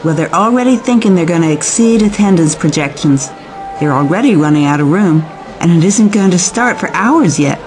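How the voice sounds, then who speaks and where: Woman acting breezy, animated event organiser. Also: on the low side, moderate pace, faint music behind, lightly acted, poor audio. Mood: pleased, breezy. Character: upbeat, confident female event organiser, encouraging. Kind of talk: audio drama acting.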